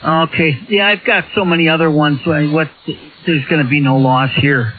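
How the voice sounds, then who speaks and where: Higher-pitched man on a thin CB signal. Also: steady tone, normal speed, narrow, tinny audio. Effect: radio transmission. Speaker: CB radio operator. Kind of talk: casual radio chat. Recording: thin, band-limited CB radio signal.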